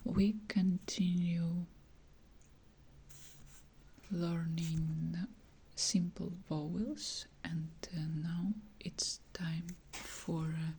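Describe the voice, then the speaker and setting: Female teacher, soft breathy whisper, very slow hesitant delivery with fillers, ASMR lesson. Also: fairly low pitch, steady tone. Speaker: Russian language teacher.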